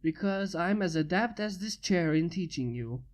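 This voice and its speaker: A fanfiction reader voicing a blunt, gruff inventor who has become a father. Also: medium pitch, animated, normal speed, natural voice.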